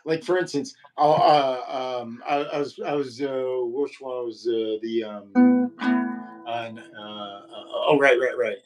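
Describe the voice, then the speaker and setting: A man with a low voice in casual conversation, rambling and halting with many fillers and restarts. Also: steady tone, over loud music, low-quality recording. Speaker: podcast co-host. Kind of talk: casual chat about guitar.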